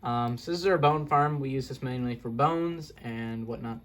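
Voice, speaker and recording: A man's voice: medium pitch, moderate pace. A young man casually walking through a game build, rambling and correcting himself with self-deprecating asides. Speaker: Minecraft video creator. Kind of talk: casual gameplay walkthrough.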